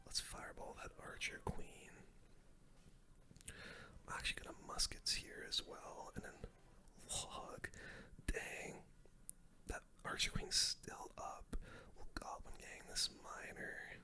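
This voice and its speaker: A person doing gameplay commentary in a breathy, unvoiced ASMR whisper, using casual gamer slang. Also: slow, long pauses. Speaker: gaming streamer, commentator.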